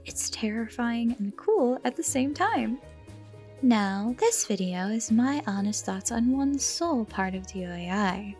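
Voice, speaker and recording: A woman giving scripted video-essay commentary with dramatic emphasis and hushed, breathy phrase endings. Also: on the high side, expressive, unhurried. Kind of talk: scripted commentary on a horror series. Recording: music behind the voice.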